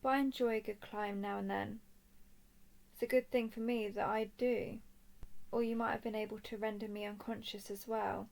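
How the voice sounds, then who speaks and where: A woman playing a watchful, confident dragon-clan scout in a scripted roleplay audio drama, with measured, expressive delivery. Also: medium pitch, vocal fry, lightly acted. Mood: smug, commanding. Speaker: voice performer.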